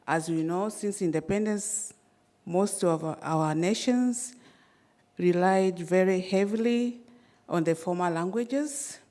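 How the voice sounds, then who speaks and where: A woman from Tanzania, whose first language is Swahili, giving a conference presentation in a measured, hesitant way with frequent fillers and restarts. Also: lowish voice, mellow. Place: conference hall.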